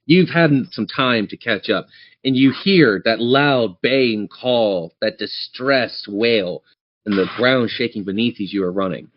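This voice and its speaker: A man's voice: fairly low pitch, a little slow, muffled recording. A man running a tabletop game as game master, narrating scenes descriptively and speaking straight to his players. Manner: descriptive, addresses players directly.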